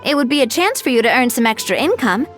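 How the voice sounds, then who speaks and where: A polished young lady organiser with a high voice and lively melody. She is gracious and helpful in the manner of game dialogue, with light music underneath. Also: fast. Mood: encouraging, proud. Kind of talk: video game voice acting.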